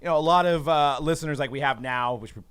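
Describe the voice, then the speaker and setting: A man's voice: on the high side, quick pace, fairly bright tone, smooth, no echo. A man co-hosting a casual hobby podcast from a studio, upbeat and laughing easily, with frequent filler sounds. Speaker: podcast co-host.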